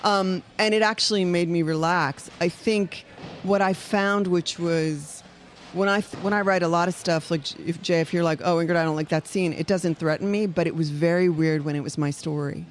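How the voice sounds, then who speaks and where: A woman playwright chatting on a podcast in an animated, fast-paced way, with laughing asides. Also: fairly low pitch, slight background noise. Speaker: playwright guest. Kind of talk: casual chat.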